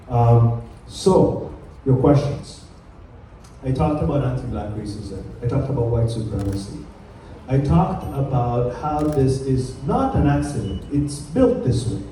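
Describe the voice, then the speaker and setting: Man from Kenya, panel speaker: earnest, emphatic, dark and mellow voice, heard from afar in a reverberant hall. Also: mid-range voice, slight background noise. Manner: earnest, emphatic, with frequent fillers and restarts. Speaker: community panelist. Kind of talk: public speech at a summit panel. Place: large conference hall. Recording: echoey hall, voice from far off.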